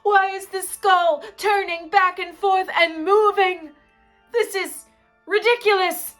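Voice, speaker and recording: A woman's voice: fairly high pitch, very animated, normal speed, a bit bright, soft background music. A woman voicing an alarmed, superstitious male gravedigger in a told story, urgent and emphatic. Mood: panicked. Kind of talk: storybook character in oral storytelling.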